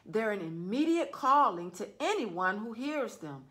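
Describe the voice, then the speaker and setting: A woman's voice: mid-range voice, very animated, a little slow, a bit bright. A woman voicing a stern, judgmental sixth-grade teacher who lectures her class earnestly. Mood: earnest lecturing.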